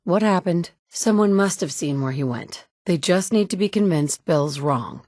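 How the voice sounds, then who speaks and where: A tough, wry survivor heroine of a video game, voiced by a woman, speaking in a slightly low, blunt voice. She delivers her lines with dry sarcasm. The studio sound is close and dry. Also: animated, moderate pace, darkish tone, no room sound, close to an everyday voice. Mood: terse. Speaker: player character.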